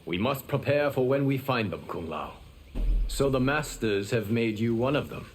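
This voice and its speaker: An actor playing a stern, wise lord and mentor with calm authority in video game dialogue. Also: male voice, mid-range voice, moderate pace, lightly acted. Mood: stern, solemn. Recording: faint background noise.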